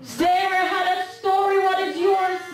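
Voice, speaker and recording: A middle-aged woman preaching a sermon at a women's convention, half-singing her lines and rising to shouts. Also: very high-pitched, level tone, moderate pace, over a noisy crowd. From Canada. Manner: impassioned preaching, half-sung, with shouted peaks. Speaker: guest speaker at women's convention. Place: church sanctuary. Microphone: handheld mic. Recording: echoey church sound from a distant camera.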